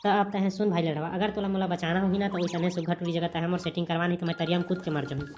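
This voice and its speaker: A woman's voice: on the low side, steady tone, rapid-fire, darkish tone, faint music behind, natural voice. A woman voicing a brash, whiny young village lad in a comic cartoon, cheeky and bickering, with a Chhattisgarhi accent.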